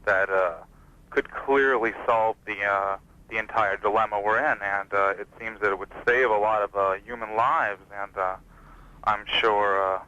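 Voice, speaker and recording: Male radio caller, lowish bright voice, hesitant and roundabout, on a dull phone connection. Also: fairly even intonation. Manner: halting, roundabout. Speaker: radio call-in listener. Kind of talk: hesitant call-in opinion.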